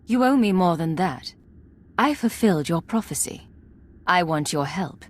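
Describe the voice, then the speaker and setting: An actress playing a composed, resolute secret agent in a video game, speaking in a measured, direct way. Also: female voice, fairly low pitch, animated. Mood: composed, assertive. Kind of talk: dramatic video game acting.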